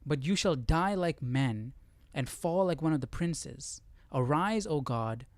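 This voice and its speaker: A man's voice: fairly high pitch, lively intonation, slow, dry recording. A man hosting a live Bible study, reading aloud and thinking through it in casual talk.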